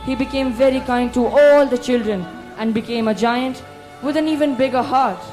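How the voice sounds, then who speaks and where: Casting: young stage narrator, scripted storytelling, medium pitch, light music bed. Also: a little mellow, rough recording. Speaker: narrator of a school play.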